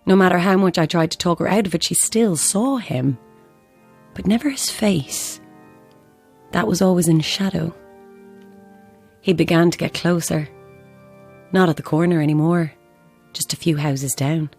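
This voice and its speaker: A woman's voice: on the low side, moderate pace, pauses often, a little mellow, husky, soft background music. An actress playing a bereaved mother who believes in ghosts, blunt and insistent, in a naturalistic audio drama. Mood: grave, haunted.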